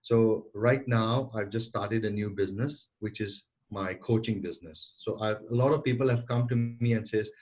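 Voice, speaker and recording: A man in his forties speaks with a somewhat low voice. He answers interview questions earnestly over a remote call. He often repeats and restarts words as he goes. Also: moderate pace, few pauses, a little mellow. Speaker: guest entrepreneur and sales coach.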